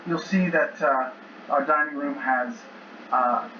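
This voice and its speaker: A man's voice: medium pitch, slow pace, sharp tone, muffled recording. A man improvising in character as a player in a tabletop role-playing game. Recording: roomy distant mics with noisy background audio.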